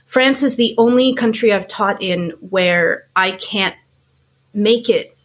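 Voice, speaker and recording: Canadian woman in her 40s, kinda husky, talks it through slow and plain like a teacher would. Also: mid-range voice. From Canada, small towns around Toronto. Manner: measured, explanatory, frank. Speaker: guest, teacher and school founder. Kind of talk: casual interview chat.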